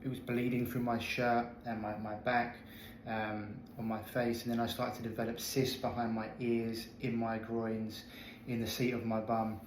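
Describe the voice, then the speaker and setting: A man's voice: medium pitch, level tone, moderate pace, slight background noise. A man in his thirties, speaking as a guest health coach, telling his own story in an earnest, candid way with many restarts. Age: 30s. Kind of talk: interview storytelling. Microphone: video call. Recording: echoey video call audio.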